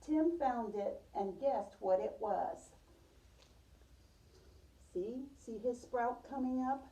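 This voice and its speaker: Very mellow woman reading aloud, roomy. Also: fairly high pitch, slow. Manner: repeats and affirms pupils' answers. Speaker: preschool teacher reading a picture book to a class. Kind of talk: storybook read-aloud with questions to children. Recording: roomy, distant microphone.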